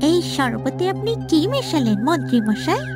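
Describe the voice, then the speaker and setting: A woman's voice: high voice, sing-song, moderate pace, music in the background. A woman voicing a young, obedient palace maid in a fairy-tale cartoon, with a polite, persuasive manner. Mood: eager, curious.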